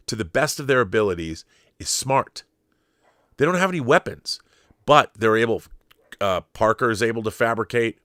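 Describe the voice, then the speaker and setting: A man's voice: mid-range voice, a bit bright, no echo, studio quality. A man hosting a pop-culture show, giving opinionated commentary in an emphatic way, repeating phrases for stress and often rephrasing as he thinks aloud. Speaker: commentator and host of a film and TV show.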